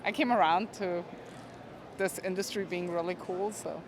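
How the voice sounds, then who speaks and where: A young woman from Austria, chatting casually about plants in an interview at a trade show, relaxed and soft-spoken. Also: on the low side, moderate pace. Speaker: plant product manager.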